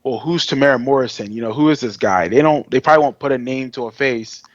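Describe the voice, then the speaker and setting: Male co-host, mid-pitched voice, enthusiastic slangy fan chat, dry close recording. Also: quick pace, dry recording, dull audio. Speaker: podcast co-host. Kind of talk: casual fan podcast chat.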